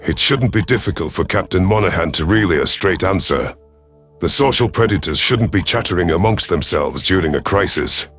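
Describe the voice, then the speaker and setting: A man voicing a cold, calculating alien predator commander in a sci-fi audio story, imperious and menacing, with a rough husky voice. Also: sits low, expressive, moderate pace, fairly bright tone, soft background music. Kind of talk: dramatic first-person sci-fi audio story.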